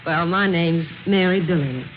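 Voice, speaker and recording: A woman plays a well-mannered but proud young job seeker in a vintage radio play. Her voice is low for a woman, guarded and gently wry. The old recording is muffled, with clear noise. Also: a little slow, lightly acted. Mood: warming, wry. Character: polite, proud, jobless, hungry young woman. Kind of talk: 1940s radio drama acting.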